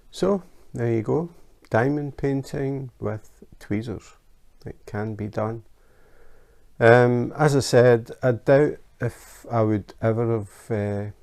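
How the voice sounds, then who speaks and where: A Scottish man hosting a casual how-to craft vlog, chatty and relaxed, with frequent ums and uhs. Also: fairly low pitch, creaky. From Scotland. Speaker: YouTube craft channel host. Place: his home in Denmark.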